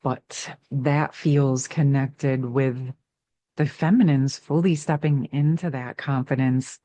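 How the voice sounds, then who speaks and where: A woman giving an intuitive card reading, musing softly about feminine and masculine energies, with her voice catching now and then. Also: low voice, dry recording. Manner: slow, soft, drawn-out spiritual musing. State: voice catching, clearing throat. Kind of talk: spiritual tarot reading.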